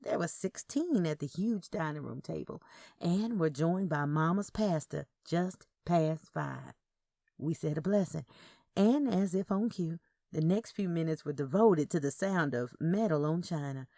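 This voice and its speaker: A woman narrates a scripted first-person story. Her voice sits a little low and has a noticeable fry. The recording is dry, with no room sound. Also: lively intonation, measured pace, mellow, no echo. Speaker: audio fiction narrator.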